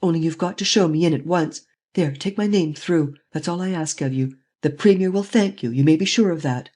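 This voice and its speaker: A reader voicing a daring, commanding gentleman thief who speaks urgently and forcefully in an audiobook. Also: medium pitch, brisk, lightly acted. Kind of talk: audiobook reading.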